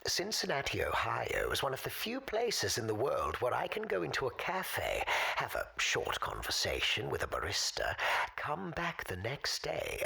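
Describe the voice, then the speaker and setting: A woman playing a wistful, erudite male caller in a radio drama, speaking formally and with measured eloquence in a husky, breathy voice. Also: rumbling low, pushed and bright, full character voice. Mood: wistful, wry. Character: forgotten, wistful, erudite man calling a radio show. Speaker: recurring caller on a radio show. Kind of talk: audio drama monologue, storytelling.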